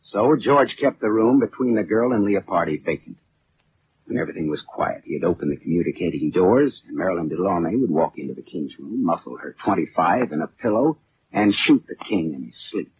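Hardboiled detective, deep-voiced, sardonic, slangy; 1940s radio crime drama, noisy vintage recording. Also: male voice, lively intonation, a little fast, highs cut off. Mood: coolly accusing. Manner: clipped, slangy, wisecracking. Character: hardboiled male private detective, sardonic and pushy. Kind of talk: 1940s radio detective acting with first-person narration.

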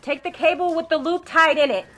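A woman's voice: extremely high pitch, a little fast, fairly bright tone. A woman acting a supportive survivor, encouraging and insistent, in an audio horror drama. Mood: urgent. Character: supportive male survivor urging a climber on.

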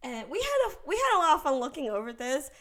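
A woman's voice: sits high, sing-song, quick pace, pushed and bright. Candid, joking young woman chatting casually. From Taiwan. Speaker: co-host. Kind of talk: casual chat.

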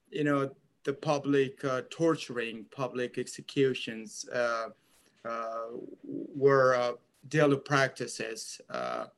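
A man's voice: mid-range voice, fairly bright tone. A man, answering interview questions earnestly with many fillers and repeats. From Afghanistan. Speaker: interview guest, author.

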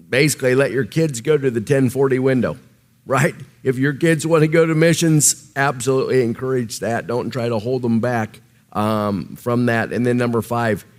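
A man from Nebraska with a Midwestern American accent, teaching the Bible informally and thinking aloud in a loose, halting way. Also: medium pitch. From Nebraska, United States.

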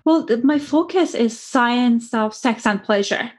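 Iranian lady therapist explaining stuff earnestly, kinda high voice, lots of "kind of". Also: a little slow. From Iran. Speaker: sex therapist guest. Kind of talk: conversational interview.

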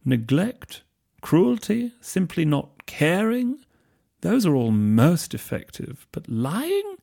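A man's voice: a little high, huge pitch swings, slow, warm tone, pitched above the performer's own voice, heavily acted. A man voicing a languid, sly young wizard who drawls his words. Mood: drawling. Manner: drawling, smooth and teasing.

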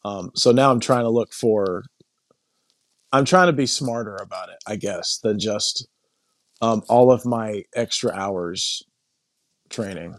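A middle-aged man talking casually and reflectively as a podcast guest, thinking aloud in a halting way and repeating phrases. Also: lowish voice, no echo. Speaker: podcast guest, coaching client. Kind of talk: casual reflective interview talk.

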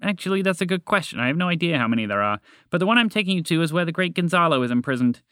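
Man voicing a high, formal, emphatic talking toucan. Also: animated, very fast, a bit bright, no echo, clean studio sound. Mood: helpful. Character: reverent, sarcastic talking toucan, male.

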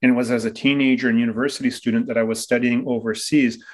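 Toronto guy co-hosting, relaxed storyteller, lots of uhs, middle pitch. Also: fast talker. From Toronto area, Canada. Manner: relaxed, many uh fillers. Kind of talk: casual storytelling in an interview.

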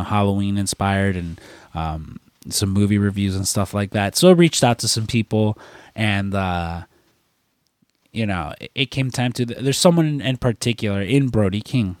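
A man's voice: on the low side, normal speed, a little mellow, no room sound, studio quality. A young man chatting casually as a podcast co-host. He is congested from a cold and rambles with lots of fillers and swearing. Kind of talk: casual chat.

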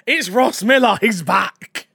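A young man hosting a casual podcast. He talks in an excitable, joking way with many restarts. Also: high-pitched, slow, vocal fry, background noise. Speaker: podcast host.

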